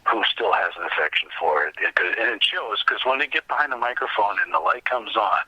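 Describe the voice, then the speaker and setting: Man, low pitch, very bright edgy tone, husky, halting earnest interview chat, dry sound. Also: expressive, dry recording, low-quality recording. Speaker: podcast guest, old-time radio enthusiast.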